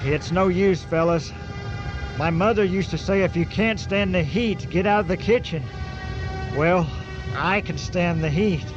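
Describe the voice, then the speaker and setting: An actor playing a doomed, fatalistic spaceship lieutenant in a dramatic radio science fiction play. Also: male voice, high-pitched, measured pace. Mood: grimly resolved. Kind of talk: old-time radio sci-fi acting. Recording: muffled audio under loud music.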